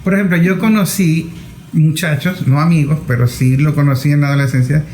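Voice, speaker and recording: A man's voice: fairly high pitch, steady tone, a little fast, a little mellow. A man hosts an interview conversationally, building his questions in short, broken phrases. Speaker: interviewer, host. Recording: roomy, echoing room sound.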